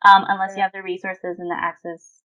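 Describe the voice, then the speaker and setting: A woman's voice: mid-range voice, little pitch movement, fairly quick, sharp tone, muffled recording. A woman hosting a podcast, asking questions in a conversational interviewing style.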